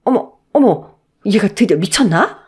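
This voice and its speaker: A woman plays a domineering older mother-in-law in a story drama. Her scolding is shrill and scornful, swinging widely in pitch with a haughty sneer. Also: expressive, a little slow, darkish tone, breathy edge. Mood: shocked, scornful. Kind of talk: Korean melodramatic story acting.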